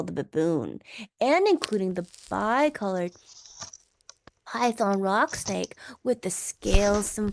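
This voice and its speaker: A small, curious young elephant pleads politely in a high, loud voice, as a woman reading the story puts him on. He swoops up and down in pitch and at times talks through his nose. There is a slight gravelly edge and a dry, close sound. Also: no echo.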